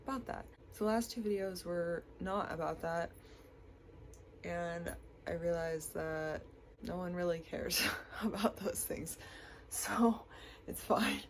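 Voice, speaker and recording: A woman's voice: mid-range voice, slight background noise. A young woman with a stuffy, congested voice from a cold, chatting casually to her camera with lots of likes and ums. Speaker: vlogger.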